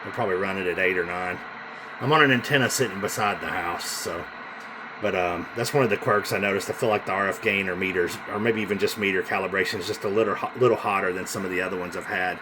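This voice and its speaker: A man casually demonstrating a radio he is selling, talking in an informal, halting, hands-on way. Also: low-pitched. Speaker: CB radio seller. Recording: noisy room with radio hiss.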